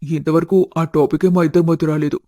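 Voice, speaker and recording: Guy voicing a sincere young lover in a cartoon story, mellow slightly high voice, dry sound. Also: level tone, brisk, no echo, lightly acted. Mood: earnest. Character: lovestruck, earnest, principled young man. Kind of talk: scripted cartoon story dialogue.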